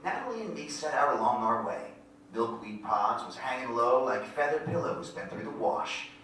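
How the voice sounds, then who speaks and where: Bright-voiced folksy man as country storyteller, echoey. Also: medium pitch, animated, normal speed, close to an everyday voice. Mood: lyrical, wistful. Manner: folksy rural grammar, lyrical storytelling. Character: wistful folksy country narrator recalling his boyhood. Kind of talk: poetic first-person monologue in staged reading. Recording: very echoey hall, distant mic, faint noise.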